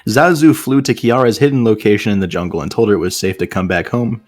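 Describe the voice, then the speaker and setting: A man's voice: fairly low pitch, fast talker, no echo. A man doing a casual read-aloud for friends, breaking off into joking, sarcastic asides. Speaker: host reading fan fiction aloud with friends.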